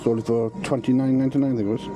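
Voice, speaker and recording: A British man vlogging a bargain hunt, thinking aloud in a casual, low-key, matter-of-fact way. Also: little pitch movement, racing, over music. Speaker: vlogger hunting for bargains to resell. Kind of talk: casual muttered commentary to himself while browsing. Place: car park outdoors, then large charity warehouse shop. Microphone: body-worn action camera. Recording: noisy action-camera audio, shop and street ambience.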